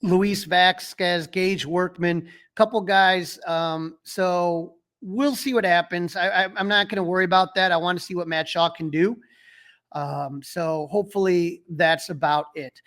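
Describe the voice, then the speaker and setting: A man's voice: high voice, steady tone, measured pace, a bit bright. A man co-hosting a sports podcast, talking in a casual, conversational style. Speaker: podcast co-host.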